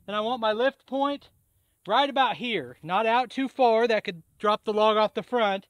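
A man in his thirties talks through a farm project in a chatty, step-by-step way. His voice sits high and sounds bright and forceful. The sound is dry and close, with no room echo. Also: normal speed. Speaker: farm vlogger. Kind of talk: casual how-to vlog. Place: outdoors at a farm, by a garage and a pond.

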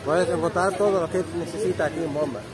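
A man's voice: a little high, fast. A man in his thirties from Egypt with an Egyptian Arabic accent, chatting loosely to a handheld phone camera as he walks through an outdoor street market, speaking non-native Spanish with frequent restarts. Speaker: vlogger. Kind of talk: walking vlog to camera. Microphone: handheld phone at arm's length. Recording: loud street crowd and music behind. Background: market crowd, traffic, music.

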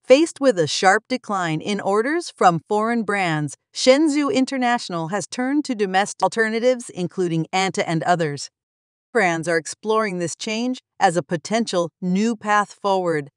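A woman delivering scripted documentary narration in an even, explanatory newsreader manner, recorded close as a voice-over. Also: medium pitch, moderate pace, clear, resonant tone, no room sound. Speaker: narrator of a news channel.

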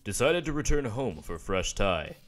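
A man's voice: fairly low pitch, big pitch swings, normal speed, faint music behind. A man playing an earnest, deadly serious businessman in a web comic dub, speaking in clipped, telegraphic phrases. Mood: grave, urgent. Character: earnest businessman dictating clipped, solemn text messages.

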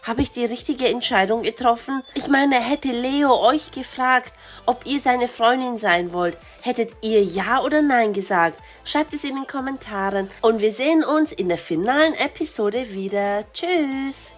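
Hoarse woman host, chatty and grateful; high-pitched. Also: a little slow, faint music behind. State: hoarse from a cold. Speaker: channel host, storyteller. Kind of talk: friendly outro to viewers.

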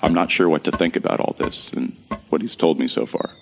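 Really deep gravelly guy narrating his own audio drama, earnest, kinda bewildered. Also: a little fast, background noise. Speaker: narrator and lead actor.

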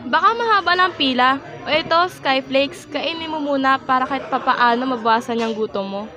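A woman's voice: high voice, a little fast, close to an everyday voice. A woman playing a sweet, anxious, hesitant teenage schoolgirl in a radio drama. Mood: caring. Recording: music and crowd noise behind.

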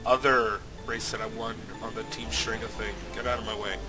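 A man commentating his own racing game playthrough, slightly sick and nasal, swearing often with sudden loud outbursts. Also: on the low side, flat delivery, a little fast, over music. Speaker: let's play host. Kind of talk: casual gameplay commentary.

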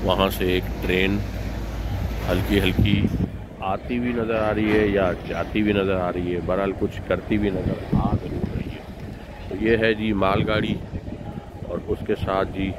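Slow, low-voiced man vlogging by train. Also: little pitch movement. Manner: chatty, addresses viewers directly, unhurried commentary. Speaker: travel vlogger. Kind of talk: casual travel vlog narration. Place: outdoors on railway platforms and inside a train coach. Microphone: phone held at arm's length. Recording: loud station and train noise behind.

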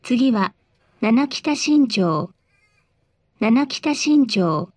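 A woman reading scripted bus announcements in a polite, formal, measured way. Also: fairly high pitch, animated, darkish tone, no echo.

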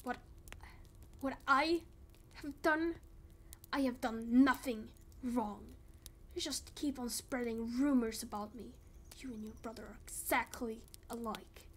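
Woman voicing menacing father, high-pitched and slow. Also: slight background noise, lightly acted. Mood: indignant, threatening. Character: menacing, manipulative father, outraged and accusing. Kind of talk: amateur Minecraft roleplay voice acting.

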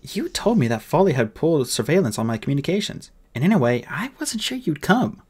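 A man's voice: on the high side, big pitch swings, fairly quick, a little mellow, husky, pitched above the performer's own voice. A man voicing a clever human boy in an audiobook, speaking formally and earnestly with urgent persuasion.

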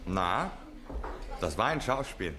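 An actor playing a witty, theatrical gentleman in a German radio play, speaking with ironic flourish. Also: deep voice, sing-song, slow pace, a crowd in the background, full character voice. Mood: wryly amused. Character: Gilroy, dapper, witty, theatrical male colleague.

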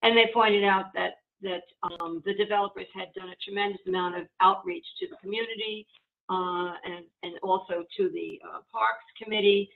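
A woman reporting a committee's findings at a public board meeting, pausing often with fillers and restarts. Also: medium pitch, steady tone, gravelly. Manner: halting, many fillers and restarts. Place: home, video call. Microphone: video call. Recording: tinny phone-like video call audio.

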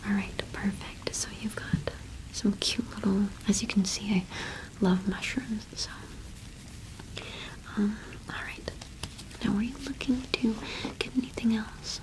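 A young woman playing a friendly, gentle cottage witch shopkeeper in a whispered ASMR roleplay, unhurried and attentive, close to the microphone. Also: medium pitch, fairly even intonation, close to an everyday voice, low-quality recording. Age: young adult.

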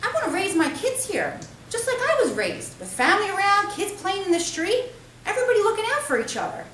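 A woman voicing a loud, teasing working-class young man of twenty-two, reading him in a storybook with a blustering, slangy manner. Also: very high voice, wildly swooping pitch, a little fast, voice pushed up, exaggerated voice. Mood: defiant. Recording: roomy, distant, faintly noisy.

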